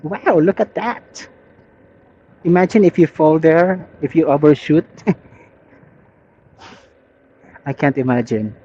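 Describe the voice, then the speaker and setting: A Filipino man with a slightly high, relaxed voice rambles casually as he rides a motorbike. He takes his time and thinks aloud in an easygoing way. The sound is a bit dull, as if through a helmet intercom. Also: a little slow, a little hiss or hum. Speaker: motorcycle vlogger. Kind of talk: casual chat, rambling commentary while riding. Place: outdoors, riding a motorbike on rural roads. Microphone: helmet intercom headset. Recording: muffled helmet intercom audio.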